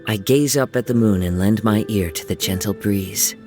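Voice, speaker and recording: A man voicing a gentle young wandering samurai in a video game, soft-spoken and reflective, with lyrical, poetic phrasing. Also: lowish voice, moderate pace, natural voice. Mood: serene. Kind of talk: video game character voice lines. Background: music.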